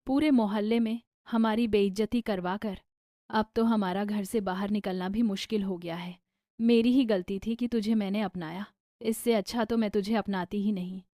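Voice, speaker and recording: A woman voicing an emotional, sharp-tongued woman of the household in an animated family drama, expressive and complaining. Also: medium pitch, no room sound, clean studio sound, natural voice. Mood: bitter reproach.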